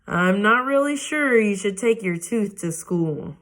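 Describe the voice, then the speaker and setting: A woman voicing a gentle, hesitant father in a children's book read aloud. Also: medium pitch, animated, a little slow. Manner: hesitant, soft. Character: gentle, hesitant dad of a young girl.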